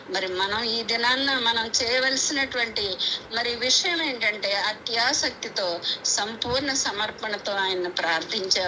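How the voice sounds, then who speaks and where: Lady giving an earnest sermon, repeats key phrases, normal pitch, pretty noisy call recording. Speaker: preacher. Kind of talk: devotional sermon with scripture readings. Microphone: conference call. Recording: clearly noisy conference call audio.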